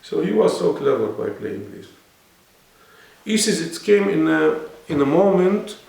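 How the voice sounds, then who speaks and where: A man's voice: on the high side, big pitch swings, measured pace, gravelly, darkish tone. A man with an Arabic accent, speaking non-native English and explaining earnestly as a guest in a serious interview. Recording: echoey room, mic at a distance.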